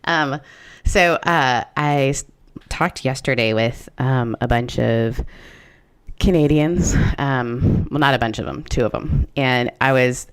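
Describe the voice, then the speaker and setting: A woman's voice: extremely low pitch, smooth. A woman hosting a podcast, talking casually with a friend, chatty with lots of fillers and restarts. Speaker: podcast host. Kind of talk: casual chat.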